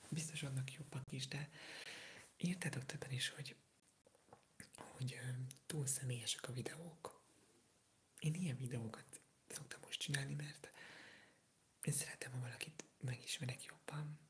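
An eighteen-year-old from Hungary whispering softly through an ASMR livestream, answering viewer comments while sick with a sore throat, rambling and hesitant. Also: medium pitch, fairly even intonation, long pauses. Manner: soft unvoiced whisper, rambling, hesitant with fillers.

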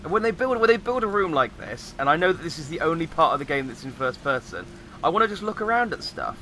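A man with a slightly croaky voice giving casual, rambling commentary as he plays a game. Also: on the high side, big pitch swings, fast, pushed and bright, a little hiss or hum. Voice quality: slightly croaky, recovering from voice loss. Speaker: gamer, host of a let's play.

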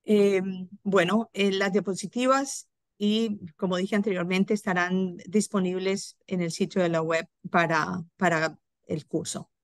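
A woman's voice: fairly low pitch, steady tone, unhurried, no echo. Woman, webinar host, casual, lots of fillers, dry close sound. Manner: informal, with frequent fillers. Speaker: webinar moderator. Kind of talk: hosting an online course session.

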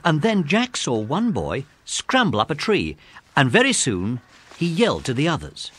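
A man's voice: on the high side, huge pitch swings, moderate pace, a bit bright, slightly rough. A man with an English accent narrating a scripted children's story.

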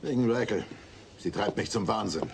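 An actor playing an exasperated, grumbling married neighbour with a gravelly voice in a German sitcom audio drama. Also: male voice, fairly low pitch, moderate pace. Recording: faint noise, old cassette audio drama.

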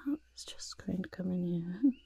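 A woman playing a sly, fussy goblin master of disguise in a hushed, breathy ASMR roleplay, speaking deliberately and close to the microphone. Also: fairly low pitch, animated, exaggerated voice. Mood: fussy, soothing. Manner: slow, deliberate, refers to self in third person. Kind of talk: ASMR roleplay, soft-spoken whisper.

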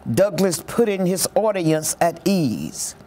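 A commentator explaining a historic speech in a reflective, expressive storytelling way. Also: mid-range voice, slow. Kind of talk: reflective documentary-style commentary.

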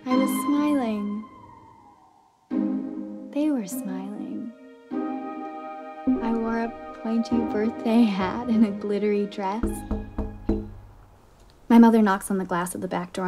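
Young woman, first-person story narration, mid-range pitch, soft music bed. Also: fairly even intonation, unhurried, long pauses. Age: young adult. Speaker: actress narrating a short story. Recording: music behind the voice.

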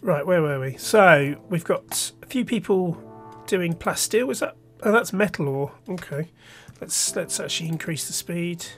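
A man's voice: a little high, animated, measured pace, hardly any pauses. Man, stuffy nose from a cold, let's play host, rambling gameplay commentary, faint music behind. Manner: thinking aloud, rambling with restarts.

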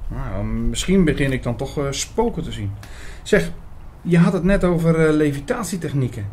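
An actor playing an earnest, gentle young priest who also narrates his story in an audio drama. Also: a little high, expressive, moderate pace, husky. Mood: light, puzzled.

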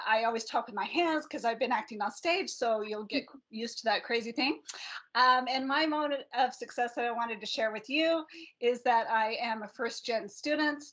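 A woman's voice: fairly high pitch, a bit bright. A woman from Utah with an American accent, giving an introductory presentation as a librarian. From North Salt Lake City, Utah, US. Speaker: academic librarian. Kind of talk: friendly presentation to students.

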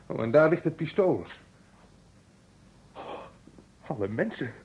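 An actor playing an anxious, hesitant male house guest in a radio play, speaking haltingly with repeated words. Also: fairly high pitch, sing-song, breathy edge, full character voice. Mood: alarmed. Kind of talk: Dutch radio drama acting. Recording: muffled, noisy old radio recording.

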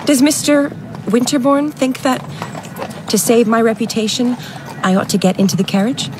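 Actress as a flippant teasing young society girl, highish voice, radio play, noisy outdoor ambience. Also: normal speed, natural voice. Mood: defiant. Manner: breezy, teasing, defiant. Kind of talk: period radio drama acting. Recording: noisy street ambience behind.

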